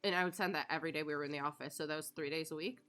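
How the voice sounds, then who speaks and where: Woman, 30s, live streamer: rambling storyteller, casual swearing, crisp and tense on top of a slightly low pitch. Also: steady tone, rapid-fire, a bit bright. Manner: chatty storyteller, swears casually, laughs often. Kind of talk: casual chat while gaming.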